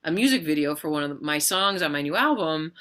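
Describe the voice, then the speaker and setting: A woman's voice: low voice, expressive, brisk, a bit bright. A woman hosting a casual interview, bubbly and effusive, laughing often and gushing over her guest. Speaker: host. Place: video call livestream.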